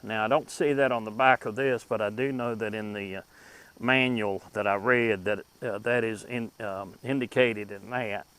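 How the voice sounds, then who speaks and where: A man hosting a casual, unscripted review of collectibles, speaking haltingly as he thinks aloud with pauses. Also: on the low side, steady tone, a bit bright, clear, resonant tone, poor audio. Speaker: host reviewing vintage radio collectibles. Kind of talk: casual unscripted product show-and-tell.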